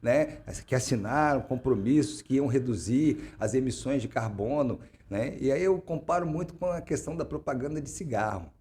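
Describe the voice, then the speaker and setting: Husky man in his sixties, rambling. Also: mid-range voice, animated, normal speed, few pauses, darkish tone. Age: 60s. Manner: rambling, frequent "né?" tags and restarts. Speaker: interview guest. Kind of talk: conversational interview.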